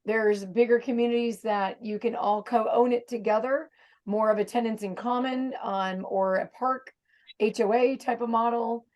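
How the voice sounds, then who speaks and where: A woman's voice: on the high side, fairly even intonation, normal speed, darkish tone. A woman hosting a casual video-call interview, rambling and thinking aloud with many fillers. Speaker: host.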